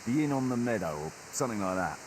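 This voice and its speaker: An actor playing a gruff, wary patrol chief in a medieval video game, speaking bluntly and with command. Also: male voice, lowish voice, sing-song, quick pace, a little hiss or hum, rough recording. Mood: scornful, wary. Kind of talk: naturalistic video game acting.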